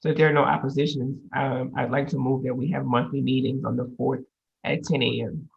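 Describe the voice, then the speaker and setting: A woman chairing a public council meeting, running motions and agenda items in a brisk, procedural way with frequent pauses on "um," speaking over a video call. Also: very deep voice, flat delivery, creaky, dull audio.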